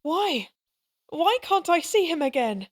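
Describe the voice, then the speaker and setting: A woman's voice: very high-pitched, very animated, slow, full character voice. A woman acting in an audio roleplay as an anxious, tender young mother who speaks hesitantly and wistfully. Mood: sad, confused.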